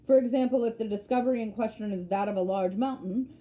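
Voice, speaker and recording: A woman's voice: a little high, fast talker, warm tone, natural voice. Woman playing a pompous, pedantic old geographer. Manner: pompous, emphatic lecturing. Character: self-important, pedantic old male geographer.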